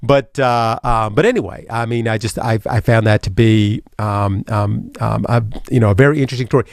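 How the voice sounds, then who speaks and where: A man's voice: on the low side, fairly even intonation, fairly quick, creaky. A man hosting a radio talk show in casual chat, rambling with many fillers and restarts. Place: radio studio.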